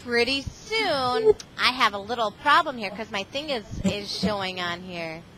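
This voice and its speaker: Animated high-voiced woman reading to children. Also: measured pace, a little hiss or hum, rough recording. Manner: animated, exclamatory, asks the children questions. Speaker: reading tutor reading aloud to a school class. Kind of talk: interactive children's read-aloud over video call. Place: video call to a classroom. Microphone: video call microphone.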